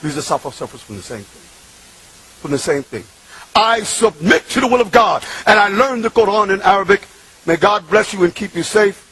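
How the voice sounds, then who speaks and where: A man, preaching an impassioned sermon that swings from loud shouting to hushed murmurs. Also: sits high, expressive, moderate pace, slightly rough, low-quality recording. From Bronx, New York.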